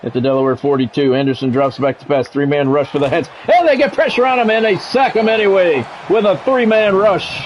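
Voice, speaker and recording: A man's voice: a little high, fairly quick, few pauses, slight background noise. A middle-aged or older man giving live play-by-play of a football video game in short, clipped announcer phrases. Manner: announcer-like, short clipped phrases, excited calls on big plays.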